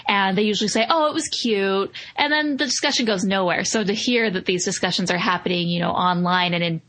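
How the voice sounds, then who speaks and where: A woman's voice: medium pitch, brisk, a bit bright. A woman with a middle-range voice speaks as a podcast co-host. Her delivery is casual and conversational, like someone in an informal group discussion. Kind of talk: casual chat.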